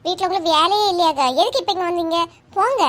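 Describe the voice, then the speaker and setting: A woman plays a bossy cartoon village wife with a very high voice. She is suspicious and sharp-tongued, scolding in a Kanyakumari Tamil accent. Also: quick pace. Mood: irritated. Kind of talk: cartoon comedy voice acting.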